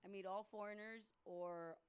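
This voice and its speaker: A woman's voice: medium pitch, fairly even intonation, moderate pace, smooth. A Colombian American woman, a podcast guest, talking bluntly and profanely about dating. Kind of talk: casual podcast chat.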